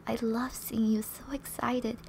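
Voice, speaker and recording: A woman playing a flirty, teasing, affectionate wife in an ASMR roleplay, soft and often breathy, with playful, intimate delivery. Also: fairly high pitch, level tone, a little slow, a little hiss or hum. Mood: sultry.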